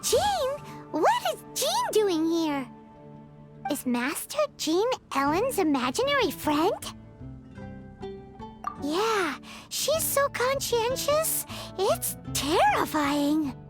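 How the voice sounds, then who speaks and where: A woman voicing a tiny floating fairy companion in a video game, bubbly, exclamatory and quick to tease. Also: extremely high pitch, very animated, full character voice. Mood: nervous. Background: game music.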